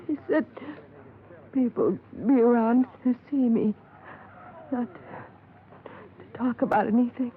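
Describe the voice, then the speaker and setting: An actress playing an anguished, bitter wife in a 1950s radio crime drama, speaking in halting, broken phrases. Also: female voice, a little high, phone-quality sound. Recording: thin, noisy 1950s radio recording.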